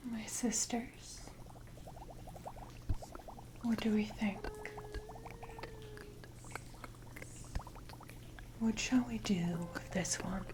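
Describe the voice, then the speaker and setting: A woman voicing a haughty, seductive and menacing siren in a breathy ASMR roleplay whisper, with deliberate, condescending delivery. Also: mid-range voice, close to an everyday voice. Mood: musing, condescending. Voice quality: breathy, mostly unvoiced whisper. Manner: slow, deliberate, condescending, archaic phrasing. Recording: noisy ambience with water drips.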